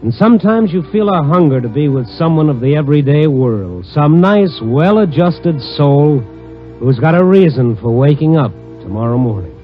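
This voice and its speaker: A man's voice: on the high side, big pitch swings, normal speed. An actor with an American accent playing a wry, tough investigator who narrates his case in an old-time radio drama. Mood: wry, brooding. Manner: wry, hardboiled delivery. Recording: dull vintage radio recording with music.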